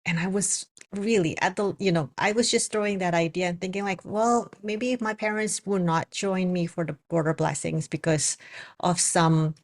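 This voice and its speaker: A woman with an Indonesian accent, a spiritual coach and psychic reader, talking casually to her viewers with many pauses and fillers. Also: lowish voice, steady tone. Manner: hesitant, many fillers and restarts. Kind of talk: casual spoken video message.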